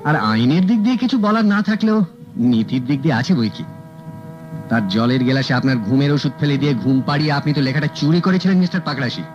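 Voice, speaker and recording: An actor playing a sharp, confident private detective who calmly and cuttingly accuses a suspect. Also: male voice, medium pitch, brisk, natural voice. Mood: accusing. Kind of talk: 1970s radio drama acting. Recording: dull old radio recording, faint music.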